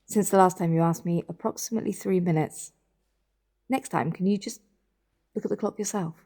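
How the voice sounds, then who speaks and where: An actress playing a dry, concerned sister in a naturalistic audio drama, with a wry, conversational delivery. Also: female voice, fairly low pitch, a little fast, dark tone. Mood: dry, exasperated. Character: dry, concerned adult sister keeping vigil.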